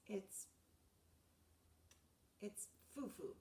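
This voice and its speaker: A woman's voice: drawn-out words, warm tone, slight background noise. A woman voicing a sleepy, bashful young boy in a storybook, giving short, reluctant replies. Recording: roomy echo, distant mic.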